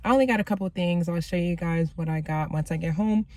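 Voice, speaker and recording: Slightly raspy woman vlogging in a car. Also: on the low side, fast, mellow. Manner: rambling, many ums and likes. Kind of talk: casual vlog chat.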